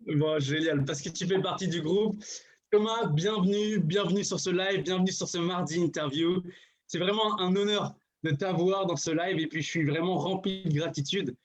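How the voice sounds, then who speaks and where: A man hosting a live interview, welcoming his guest in a grateful, warm tone. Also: sits high, moderate pace. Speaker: host.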